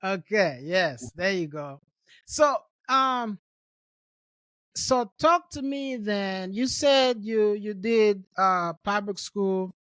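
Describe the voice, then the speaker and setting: Friendly host from Rwanda, dry sound. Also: male voice, sits high, takes it slowly, fairly bright tone, smooth, no echo. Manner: friendly, repeats words while thinking. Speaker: podcast host. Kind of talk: conversational interview.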